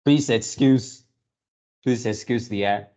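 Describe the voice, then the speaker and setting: A man hosting a reaction video with casual, off-the-cuff commentary, speaking haltingly and repeating his words and phrases. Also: medium pitch, darkish tone, muffled recording.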